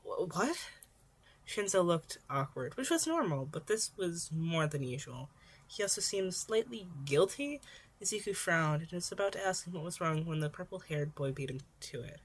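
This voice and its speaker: A woman's voice: fairly low pitch, expressive, normal speed, husky. A woman narrating fan fiction in an amateur audiobook style, now and then stumbling and rereading words.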